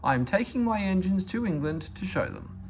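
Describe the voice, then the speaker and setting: A man's voice: high voice, animated, fairly quick, slight background noise, voice pushed up. A man voicing a stern, pompous railway boss in a storybook reading, commanding and grand. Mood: pompous, announcing.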